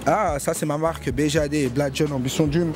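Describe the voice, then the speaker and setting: A man answering a street interview in a relaxed, laid-back way. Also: a little high, fairly quick, noisy background. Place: city street outdoors.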